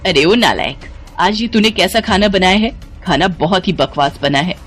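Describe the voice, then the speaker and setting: A woman's voice: fairly low pitch, animated, normal speed, faint music behind, close to an everyday voice. A woman voicing a greedy older mother-in-law in a moral story, scolding and sarcastic. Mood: scornful. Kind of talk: animated moral story acting.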